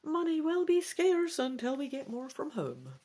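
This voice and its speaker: Lady doing a strict old elf lady bodyguard, high swoopy voice, scolds and teases, fantasy storybook. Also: huge pitch swings, unhurried, warm tone, exaggerated voice. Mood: teasing. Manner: whispering sharply at times, admonishing. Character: stern two-hundred-year-old female elf tutor and bodyguard, teasing. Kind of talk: dramatic gamebook reading.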